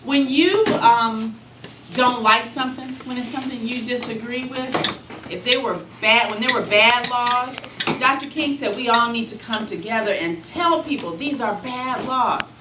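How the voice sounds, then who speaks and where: A woman's voice: fairly high pitch, normal speed. An American woman author talking and reading aloud to young children, explaining things simply and often asking them questions. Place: school room with young children. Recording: echoey room, distant mic, background noise.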